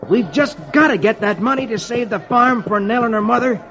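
A man's voice: extremely high pitch, brisk, voice pushed up. A man voicing eager, earnest teenage boys in an old-time radio reading of an adventure comic, on a dull old recording. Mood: nervous, earnest. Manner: excited, earnest, boyish.

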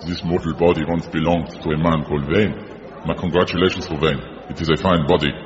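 A man's voice: rumbling low, fairly even intonation, fairly quick, crowd noise. A man playing a vengeful centuries-old burgermeister spirit in a comedy audio drama, pompous and menacing, with a foreign accent and archaic, formal speech. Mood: grandly menacing. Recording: dull, muffled, long reverb.

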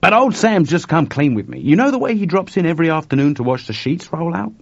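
A man voicing an urgent, nervous young male computer technician, talking in an excited rush. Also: a little high, quick pace, dry recording, voice pushed up. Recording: dry, dull lo-fi recording.

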